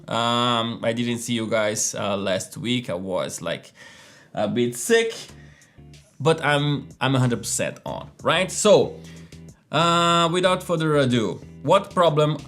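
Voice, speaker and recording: A man's voice: mid-range voice, lively intonation, takes it slowly, a bit bright. A man hosting a casual live coding lesson, chatty and full of fillers, often checking in with "right?" and "okay?". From Brazil. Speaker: host of a live coding stream. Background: faint background music.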